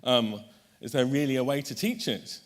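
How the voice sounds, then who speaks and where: A man's voice: medium pitch, normal speed. A man chairing a conference panel, introducing the speakers in a chatty way, correcting himself often and making light jokes.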